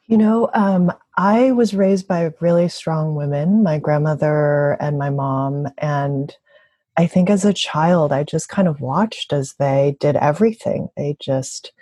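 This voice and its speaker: A woman speaks as an interview guest in a low, dark-toned voice with a husky edge. She is reflective and thoughtful, talking conversationally about her own experience. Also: unhurried, dry recording. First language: bilingual, also speaks Mandarin. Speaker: interviewee, labor organizer and activist.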